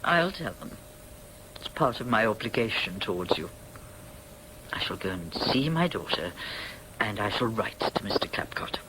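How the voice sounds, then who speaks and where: An actress playing a stern, dignified, guilt-ridden older upper-class mother in a radio drama, speaking formally and with measured precision. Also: extremely low pitch, big pitch swings, fairly bright tone, a little hiss or hum, full character voice, low-quality recording. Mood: resolute.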